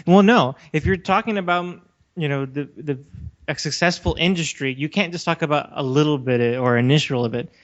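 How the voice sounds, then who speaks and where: A man's voice: a little high, normal speed. A man co-hosting a casual podcast, talking in a challenging, argumentative debate style. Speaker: podcast co-host. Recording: slightly dull, lo-fi audio.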